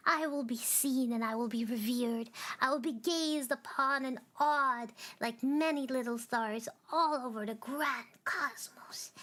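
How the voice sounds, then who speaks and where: A woman voicing a small, lonely, headstrong star who talks in quick, pushy bursts and whispers. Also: a little high.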